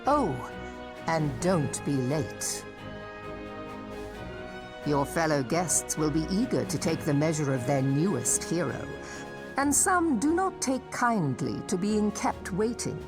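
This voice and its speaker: A woman's voice: deep voice, big pitch swings, slow pace, heavily acted. A woman playing a commanding yet gracious admiral in a fantasy game, with formal, authoritative, old-fashioned phrasing. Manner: formal, authoritative, archaic diction. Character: commanding, gracious female admiral of a seafaring city. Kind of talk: fantasy game cutscene acting. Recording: music under the voice.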